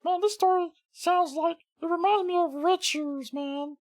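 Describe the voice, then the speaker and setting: A man voicing a squeaky, laid-back hippie sidekick who drawls like a slacker in a casual video skit. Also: steady tone, unhurried, no room sound. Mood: musing.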